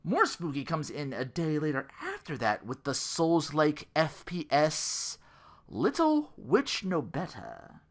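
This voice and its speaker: A man's voice: on the high side, huge pitch swings, slow pace, fairly bright tone. A man hosting a gaming podcast in a casual, rambling, slangy monologue, often acting out imagined quotes. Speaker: podcast host.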